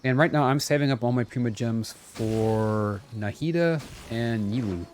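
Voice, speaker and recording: A man's voice: mid-range voice, a little slow, a little mellow. A man chatting casually as a game streamer, playful, often repeating phrases as he thinks aloud. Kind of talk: casual live gameplay chat.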